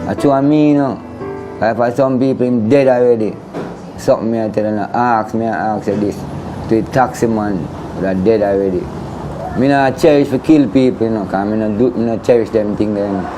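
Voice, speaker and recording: A man's voice: on the low side, a little fast, rough recording. A middle-aged man with a Jamaican accent speaking emphatically in an unscripted interview, repeating rhetorical questions. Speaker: interviewee, father of a missing woman. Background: background music.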